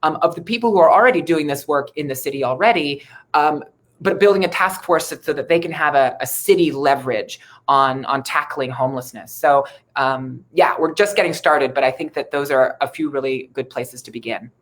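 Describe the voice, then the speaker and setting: A woman from Ohio with an American accent, answering interview questions in an earnest, measured, conversational way as a local politician. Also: deep voice. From Ohio, United States. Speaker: city council member, interview guest.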